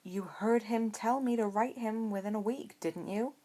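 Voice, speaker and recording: A woman's voice: a little high, normal speed, warm tone, pitched above the performer's own voice, lightly acted. A woman voicing a haughty, nosy schoolboy in a petulant, mocking way for a fantasy story.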